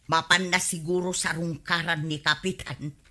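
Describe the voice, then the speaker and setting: A woman's voice: fairly low pitch, little pitch movement, normal speed, pushed and bright. A woman playing a bossy, money-grabbing village wife in an Ilocano comedy radio drama, demanding and gossipy. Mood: gleeful gossip. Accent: Filipino, Ilocano.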